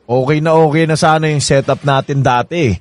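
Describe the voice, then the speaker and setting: A man's voice: on the high side, fairly even intonation, brisk, dry recording, natural voice. Man acting a menacing, obsessive jilted lover. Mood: taunting, pleading. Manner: insistent, taunting, with mocking drawl. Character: menacing, obsessive married ex-lover of a younger woman. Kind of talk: radio drama acting.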